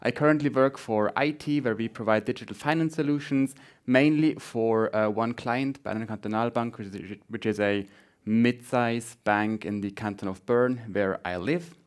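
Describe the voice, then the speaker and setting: A man with a Swiss German accent, a native Swiss German speaker, gives an informal conference tech talk in a slightly halting way with repeated words. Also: mid-range voice, smooth. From Switzerland. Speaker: conference speaker, front-end developer.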